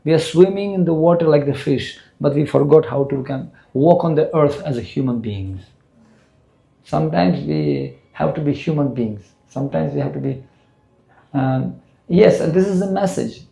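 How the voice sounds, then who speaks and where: A man's voice: fairly high pitch, lively intonation, moderate pace, vocal fry, mellow, low-quality recording. A man in his sixties from Russia, speaking English with a Russian accent, giving an earnest spiritual lecture to a temple audience. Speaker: spiritual teacher.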